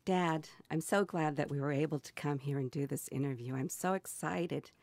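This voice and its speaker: Woman in her sixties, low-pitched, gentle and measured interviewer. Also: no room sound. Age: 60s. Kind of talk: oral history interview.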